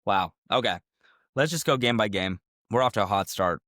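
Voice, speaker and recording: A man's voice: fairly low pitch, animated, normal speed, fairly bright tone, dry recording, studio quality. A man co-hosting a sports podcast in casual banter, joking and laughing often, a little under the weather. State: sick, recovering from illness. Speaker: podcast co-host.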